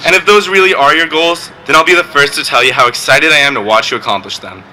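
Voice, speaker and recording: A teenage boy, valedictorian, giving a graduation speech with joking, deadpan asides. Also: on the high side, quick pace, slight background noise, poor audio. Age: teens. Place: outdoor graduation ceremony.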